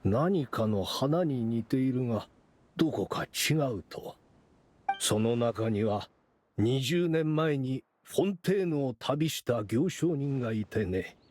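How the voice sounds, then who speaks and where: An actor playing a courteous, measured middle-aged gentleman official in an anime game. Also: mid-range voice, expressive. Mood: explaining.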